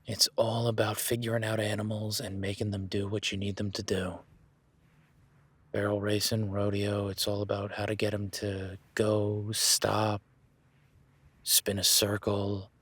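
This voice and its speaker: Husky, low-voiced cowboy rancher, played by a man. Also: completely flat delivery, unhurried, dry recording. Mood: easygoing. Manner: folksy, plainspoken, talks to the listener. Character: easygoing seasoned male cowboy rancher. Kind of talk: audio roleplay.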